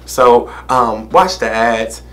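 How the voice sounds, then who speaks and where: Young man, relaxed reaction-vlog delivery, desk-camera sound in a small room. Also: mid-range voice, slow. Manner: laid-back, laughs often, repeats "man" and "you know what I'm saying". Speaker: music reaction vlogger. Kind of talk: casual reaction commentary. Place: small room indoors, plain wall behind.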